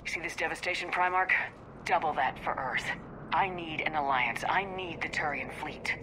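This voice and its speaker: An actress playing a determined human military commander in a video game, speaking in a firm, direct, businesslike way. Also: female voice, low voice, moderate pace, background noise, close to an everyday voice. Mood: urgent, firm. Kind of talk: video game cutscene acting. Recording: battlefield ambience behind.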